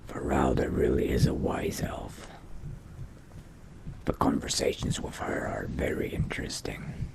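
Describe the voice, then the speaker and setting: Man putting on a very deep, gravelly, whispering vampire. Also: steady tone, full character voice. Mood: musing, subdued. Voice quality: breathy, gravelly half-whisper. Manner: slow, musing to himself. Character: male vampire adventurer, pensive, solitary, quietly resourceful. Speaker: player role-playing in a video game. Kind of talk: in-character game roleplay monologue.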